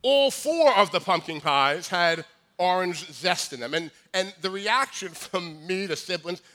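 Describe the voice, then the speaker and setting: A man in his forties with a high voice, preaching a sermon in a joking, conversational way with many restarts. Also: expressive, unhurried, bright, forceful tone. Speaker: pastor. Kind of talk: sermon with humorous asides.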